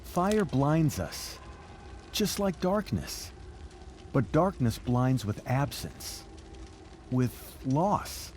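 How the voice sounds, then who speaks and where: A man's voice: medium pitch, big pitch swings, a little mellow, slight background noise. An actor playing a solemn middle-aged father who speaks cryptically in a dream, in a video game drama. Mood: solemn musing. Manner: measured, cryptic, philosophical.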